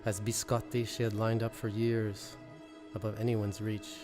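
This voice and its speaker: A man reads his own poetry in a measured, deliberate way, pausing between short phrases. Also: on the low side, little pitch movement. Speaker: poet reading own work. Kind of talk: poetry reading. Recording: musical score under the voice. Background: original instrumental music.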